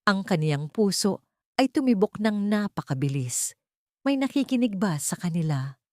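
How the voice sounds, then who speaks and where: A woman's voice: medium pitch, lively intonation, moderate pace, warm tone, dry recording, clean studio sound. A woman narrating a dramatic scripted story in a solemn, suspenseful way.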